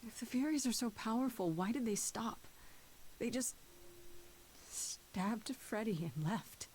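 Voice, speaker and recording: A woman's voice: on the high side, expressive, measured pace, a little mellow, a little hiss or hum. An actress playing a distraught, grieving young woman in a dramatic video game, with an emotional, pleading manner. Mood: subdued, questioning.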